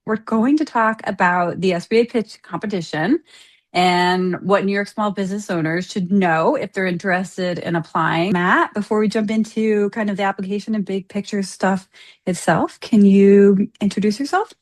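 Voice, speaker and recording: A woman's voice: mid-range voice, moderate pace. A woman hosting an interview in a conversational style, setting up questions for her guest.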